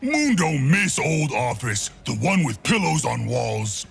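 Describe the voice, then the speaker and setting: An actor voicing a hulking, dim-witted mad brute who thinks he is a doctor, with a gravelly voice and broken caveman grammar, for a video game. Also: a little high, big pitch swings, slow pace, faint music behind, full character voice. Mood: muddled, confident. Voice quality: gravelly, husky. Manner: broken caveman grammar, speaks of himself in third person. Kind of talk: video game character voice lines.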